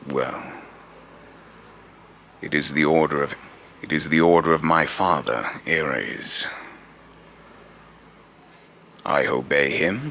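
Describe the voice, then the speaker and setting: A man acts out a menacing god of fear from a video game, in an extremely low male voice. He speaks slowly, with a gravelly creak and a pompous, scornful, taunting air. The delivery is theatrical and grandiose. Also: pushed and bright, slight background noise, exaggerated voice. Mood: imperious. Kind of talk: rehearsed video game villain lines.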